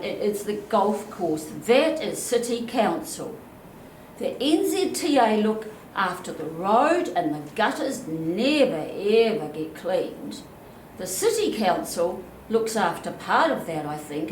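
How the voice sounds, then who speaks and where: A woman in her seventies with a New Zealand accent, asking a blunt, indignant question from the floor at a public meeting on a handheld mic. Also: mid-range voice. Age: 70s. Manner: blunt, indignant, slow and emphatic. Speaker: audience member asking a question. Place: chapel hall. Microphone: handheld microphone. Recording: echoey hall, faint room noise.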